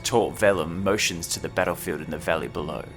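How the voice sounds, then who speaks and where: A man's voice: low voice, brisk, music in the background. A man co-hosting a podcast, telling a story in an informal, matey, loosely phrased way. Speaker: podcast co-host. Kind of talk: casual storytelling chat.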